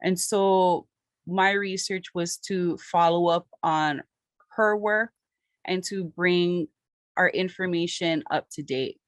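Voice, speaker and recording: A woman from Hawaii presents her botany research as a lecture with slides. Her voice sits on the lower side and she speaks in a steady, earnest way. The sound is dry and close, with no room echo. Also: slow.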